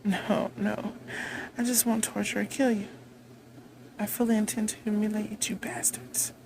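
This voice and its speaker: A woman playing a haughty, vengeful vampire queen in a roleplay monologue, imperious, taunting and deliberate in delivery. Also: medium pitch, flat delivery, vocal fry, background noise. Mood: scornful, then gloating.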